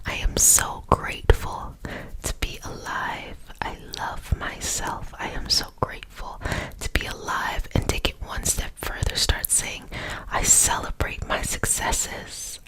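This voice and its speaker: A young woman whispering softly and affectionately as a Reiki teacher guiding a relaxing healing session. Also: rough recording. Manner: soft whisper throughout, affectionate and soothing.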